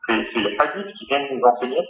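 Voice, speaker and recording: A rather low-voiced man debates religion off the cuff on a call. He explains haltingly, circling back over his phrases. The sound is muffled and clearly echoey. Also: animated. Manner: hesitant, halting, repeats phrases while explaining. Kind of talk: impromptu religious discussion on a call. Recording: dull, echoey phone-call recording.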